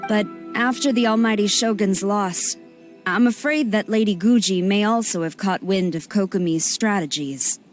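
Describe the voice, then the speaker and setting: An actress playing a stern, formal female general in a game cutscene, speaking in a clipped, measured way. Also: mid-range voice, soft background music, lightly acted.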